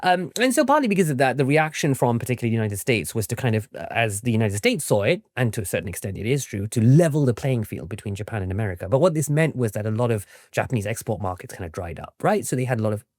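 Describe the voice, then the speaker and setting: Fast, animated man explaining in an interview. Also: medium pitch, dry recording, clean studio sound. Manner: animated, informal, often checks with "right?". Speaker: interview guest, historian and author.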